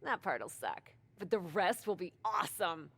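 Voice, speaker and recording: A woman's voice: on the low side, lively intonation, measured pace, vocal fry, fairly bright tone, husky, no echo, exaggerated voice. A woman playing an adventurous, self-assured wrestler in a video game, speaking in casual, persuasive banter. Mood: playful. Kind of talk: game cutscene dialogue.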